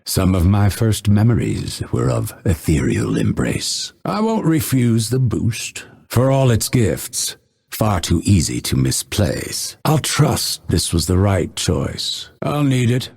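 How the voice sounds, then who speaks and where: Low, gravelly brooding assassin. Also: male voice, sing-song, darkish tone, heavily acted. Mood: grim, controlled. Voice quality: husky, gravelly. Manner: measured, solemn, dramatic delivery. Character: brooding male assassin, grim, vengeful, fatalistic. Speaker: video game voice actor. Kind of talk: scripted game character voice lines.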